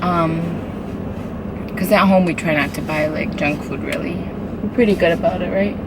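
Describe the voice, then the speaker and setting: A hoarse woman with a slightly low voice rambles casually to her camera, filling her talk with "like" and "um". She is recording inside a moving truck, and road and engine noise runs clearly under her voice. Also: moderate pace. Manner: chatty, filler-heavy, with frequent "like" and "um". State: hoarse from a cold. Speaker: vlogger. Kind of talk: casual vlog chat. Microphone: handheld camera.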